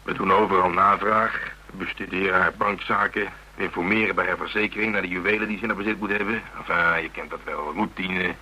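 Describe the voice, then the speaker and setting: An actor playing a gruff, sardonic, dogged police inspector in a radio drama, speaking bluntly and wryly. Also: male voice, low-pitched, fairly even intonation, a little fast, pushed and bright, a little hiss or hum, rough recording.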